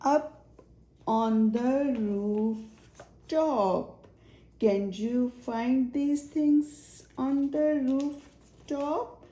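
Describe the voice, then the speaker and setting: A woman's voice: high voice, drawn-out words, long pauses, mellow, poor audio. A woman reading a children's search-and-find picture book aloud, naming items one by one and asking simple questions.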